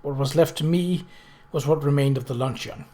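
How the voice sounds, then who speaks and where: A composed young woman of a storybook mystery , read by a man, speaks firmly and factually. She is sharp and stubborn, in a fairly high register for a male reader. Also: normal speed, natural voice. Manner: clear, firm, factual. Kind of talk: novel reading.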